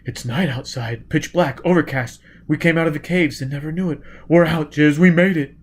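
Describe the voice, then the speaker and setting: A rough, gruff fugitive man, acted out by a male narrator in an adventure audiobook. He is driven and urgent, pushing out short, forceful phrases at a middling pitch. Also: moderate pace, natural voice. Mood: excited. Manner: clipped, forceful, terse.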